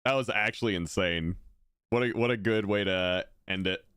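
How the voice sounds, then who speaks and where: Smooth low-voiced male streamer. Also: normal speed, dry recording, studio quality. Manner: excitable, chatty, many fillers. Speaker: streamer reacting to videos. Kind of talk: casual live-stream commentary.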